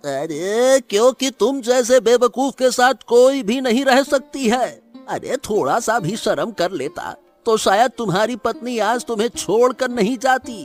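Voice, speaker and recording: A man plays a scornful, preachy brother in a cartoon moral tale. The delivery is high and animated yet unhurried, full of belittling smugness, with faint music underneath. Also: full character voice. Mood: contemptuous. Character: smug, scornful brother lecturing a poor farmer. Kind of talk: Hindi cartoon moral story acting.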